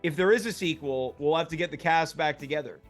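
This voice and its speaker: A man's voice: a little high, a little fast, soft background music. A man hosting a casual, comedic panel chat, asking the guests questions. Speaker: show host.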